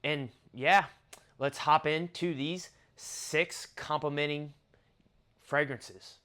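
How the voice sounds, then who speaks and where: A man's voice: fairly high pitch, slow, heavy background noise. A young man in his twenties with a stuffy, nasal voice, chatting casually through a fragrance review. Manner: chatty, conversational, lists notes in a relaxed flow. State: nasal from allergies.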